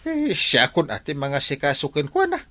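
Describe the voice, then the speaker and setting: A put-upon village captain with an Ilocano accent in a radio comedy. His pitch leaps about widely as he grumbles like a harried husband. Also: wildly swooping pitch, moderate pace, bright, forceful tone, heavily acted. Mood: grumbling.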